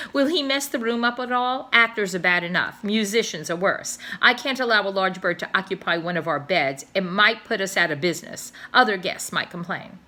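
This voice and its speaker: A woman voicing a wary, fussy hotel desk clerk who hesitates and frets, raising his voice higher than her narration. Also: sharp tone. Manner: suspicious, hesitant, fretful.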